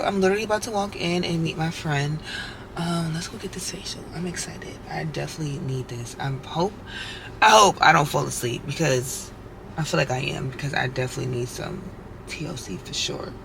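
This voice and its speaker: A woman's voice: low voice, animated, brisk, vocal fry, background noise. A woman vlogger chatting casually to her viewers, slangy and talkative, with a congested voice and a sore throat. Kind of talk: casual vlog chat to camera.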